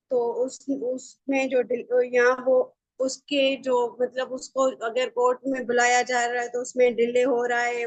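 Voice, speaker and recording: A woman's voice: on the high side, rough recording. A woman lecturing informally, reading out passages and explaining them, mixing in English terms and often checking that listeners follow. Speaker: law lecturer.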